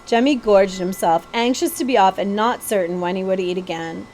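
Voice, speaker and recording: A woman's voice: medium pitch, normal speed, a little mellow, a little hiss or hum. A woman reading a children's novel aloud as a storybook narrator.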